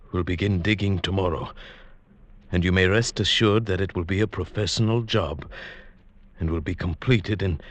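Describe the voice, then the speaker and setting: A man acting as a smug, fussy, scheming professor in vintage radio suspense, speaking formally and pedantically. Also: sits low. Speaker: actor and first-person narrator in a radio play. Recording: vintage radio recording with music.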